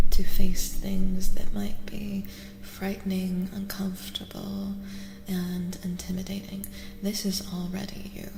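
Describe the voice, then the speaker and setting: A woman doing a soft-spoken ASMR tarot reading as a streamer, breathy and often near a whisper, soothing and affirming, gently repeating her phrases. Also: medium pitch. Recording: echoey reverb with music behind. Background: music.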